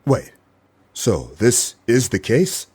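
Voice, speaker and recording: A stubborn private eye in a comic radio play, played by a man, with a mid-pitched, husky voice. The delivery is lively and accusing, full of disbelief. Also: takes it slowly, no echo, full character voice. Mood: puzzled. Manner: incredulous, accusing. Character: stubborn, suspicious male private detective.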